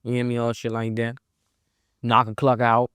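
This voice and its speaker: Smooth-voiced DC rapper, laid-back. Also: male voice, medium pitch, monotone, moderate pace, a bit bright, dry recording, clean studio sound. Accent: Washington DC. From Southeast Washington DC. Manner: laid-back, slangy, rambling. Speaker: rapper, guest. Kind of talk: casual interview chat.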